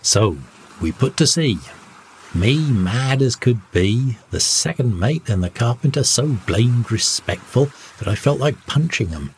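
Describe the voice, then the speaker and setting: A man narrates a bedtime story in a fairly low voice. He takes his time, with very slow phrasing and plenty of melodic movement. A soft layer of ambient noise sits behind him. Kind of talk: scripted first-person story narration for sleep.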